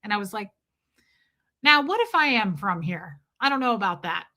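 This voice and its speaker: Bright chatty Southern woman streamer. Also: medium pitch, sing-song, normal speed. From Southern US, near Nashville. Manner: chatty, answers viewers by name, frequent restarts. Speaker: livestream host. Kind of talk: casual live chat reading comments.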